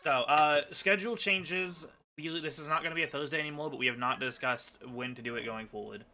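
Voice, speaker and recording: A man's voice: a little high, fairly quick, pushed and bright. A man hosting a livestream, chatting casually with friends and viewers. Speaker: livestream host.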